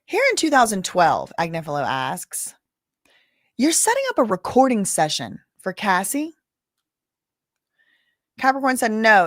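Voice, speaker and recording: A woman's voice: mid-range voice, fairly bright tone, no room sound, studio quality. A woman hosting a casual livestream, recapping court testimony, reading the chat and reacting with exclamations. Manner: chatty, reads chat, gasps and exclaims. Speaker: livestream host recapping a trial.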